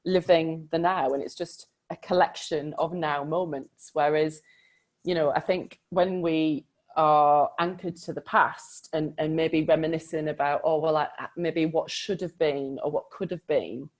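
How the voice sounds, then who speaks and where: An animated woman coach shares her views in a casual conversation. Her voice sits a little low for a woman, and she leans hard on her key points. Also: measured pace. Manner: animated, emphatic. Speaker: coach and co-host.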